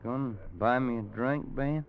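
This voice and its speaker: A man's voice: mid-range voice, normal speed, background noise, natural voice. An actor playing a weary, worried Western man telling a grim tale in a folksy American radio drama style. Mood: worried, coaxing. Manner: folksy, grave dramatic storytelling. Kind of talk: 1950s radio drama acting. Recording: muffled old radio recording with music.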